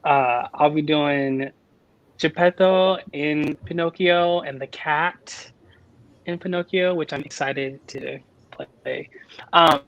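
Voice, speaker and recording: A man's voice: a little high, takes it slowly, fairly bright tone. A man from Washington state, a tenor, introducing himself casually. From Washington state, United States. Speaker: opera singer, tenor. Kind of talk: casual self-introduction chat. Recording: dull lo-fi call audio.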